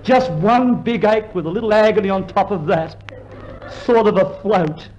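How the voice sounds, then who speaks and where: A man's voice: high voice, normal speed, a little mellow, close to an everyday voice. An actor playing a gloomy old hypochondriac delivery man, complaining dolefully with an Australian accent in a 1950s radio comedy. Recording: muffled, faintly noisy 1950s radio recording.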